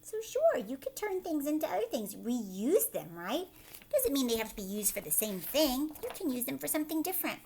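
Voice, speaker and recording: A woman with a fairly high voice speaks as a teacher to young children. She moves between reading a picture book aloud and chatty asides, keeping her wording simple and often tagging on short check-in questions. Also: big pitch swings, a little fast, darkish tone, slight background noise.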